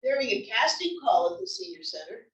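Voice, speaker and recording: A woman's voice: a little high, big pitch swings, fast talker, a bit bright. A woman reading the part of a cheerful, adventurous older wife in a comedy play. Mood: eager, teasing. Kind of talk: table read of a comedy play. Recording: roomy, distant library table-read recording.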